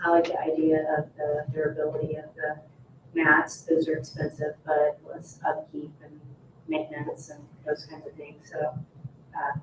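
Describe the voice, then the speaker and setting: Echoey, halting board member, off mic. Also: mid-range voice, a little mellow. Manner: halting, thinking aloud. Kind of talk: informal meeting discussion. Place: indoor public meeting room. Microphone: distant room microphone. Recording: roomy, distant, faint noise.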